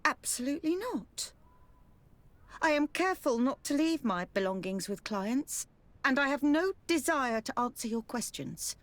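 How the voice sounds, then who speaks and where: A haughty courtesan in a video game. Her high voice swoops with dismissive airs, delivered slowly in a dry, close sound. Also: expressive, fairly bright tone, no echo. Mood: curt, disdainful.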